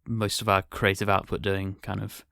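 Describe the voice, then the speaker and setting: A man's voice: lowish voice, completely flat delivery, moderate pace, gravelly, pushed and bright, no room sound, clean studio sound. A man co-hosting a podcast about a theatre company in casual discussion.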